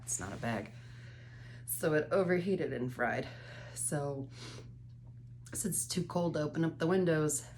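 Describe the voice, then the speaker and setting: A congested woman in her thirties records a late-night vlog at home, her voice sitting unusually low. She talks openly and wanders between thoughts, now and then cursing for emphasis. A faint hum runs underneath the phone-mic recording. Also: darkish tone. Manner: candid, rambling, occasional swearing. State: stuffy, congested nose. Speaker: vlogger.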